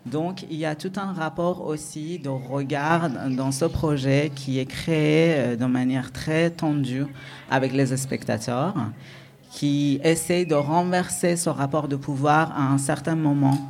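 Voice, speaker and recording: A man with a Persian accent who speaks in French slowly, pausing often to search for words. His voice sits a little high for a man, and he answers in a reflective, hesitant way, building each idea bit by bit. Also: a little mellow. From Iran. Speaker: guest, dance artist. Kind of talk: radio interview answer.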